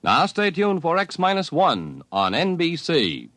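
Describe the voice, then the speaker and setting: A man's voice: fairly high pitch, huge pitch swings, measured pace, fairly bright tone, no echo. A man reading scripted network announcements in 1950s radio style.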